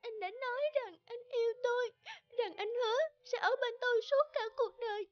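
A woman voicing a heartbroken, reproachful young girlfriend in an animated melodrama, plaintive and emotional. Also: very high-pitched, fairly quick, no room sound, dull audio. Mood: tearful, accusing.

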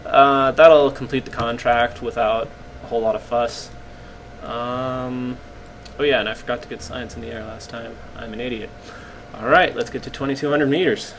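A man with a slightly croaky voice casually commenting on his gameplay, rambling and poking fun at himself as he explains. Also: mid-range voice, steady tone, normal speed, a bit bright, slight background noise, poor audio. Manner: rambling, self-deprecating, explains as he plays.

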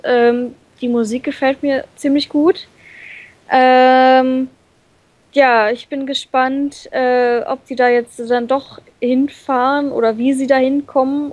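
High-voiced woman chatting casually. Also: a little mellow, no room sound. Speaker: let's play co-reader. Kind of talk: casual game chat.

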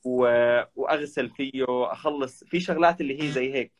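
Fast-talking man guest. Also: medium pitch. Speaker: travel vlogger guest. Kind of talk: casual live chat. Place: indoor room. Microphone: video call, webcam.

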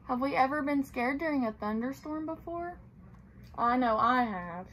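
High-pitched young woman reading to children. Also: animated, moderate pace, fairly bright tone, slight background noise, rough recording. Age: young adult. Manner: sing-song storytelling with simple questions and explanations for small children. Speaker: playschool teacher reading aloud. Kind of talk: children's picture-book read-aloud. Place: small tiled indoor room.

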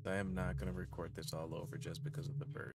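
A man's voice: sits low, little pitch movement, quick pace, creaky. A man in his thirties giving a casual how-to explanation as host of a tech tutorial. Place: small indoor room with electronics gear.

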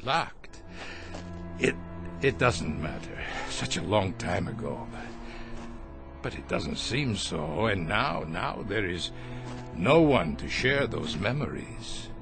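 An actor playing a stammering, cruel former camp captain in a radio drama. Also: male voice, sits low, sing-song, slow, creaky, exaggerated voice. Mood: wistful. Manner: stammering, mocking laughs, then fearful. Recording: radio play with music behind.

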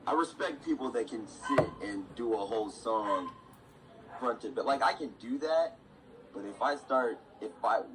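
A man's voice: medium pitch, lively intonation, normal speed. A man hosting a podcast, chatting casually in an informal, slangy way. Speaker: podcast host. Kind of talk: casual chat. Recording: clearly noisy background ambience.

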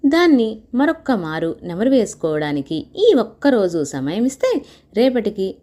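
A woman's voice: medium pitch, sing-song, measured pace, dark tone, voice pushed up. A woman voicing a boastful male scholar from a children's storybook, pompous and formal but bluffing. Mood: evasive bluffing.

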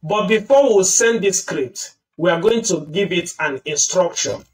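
A man's voice: high voice, expressive, a little slow, recorded from a distance. A man hosting a screen-recorded tutorial, patiently explaining each step. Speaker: tutorial host.

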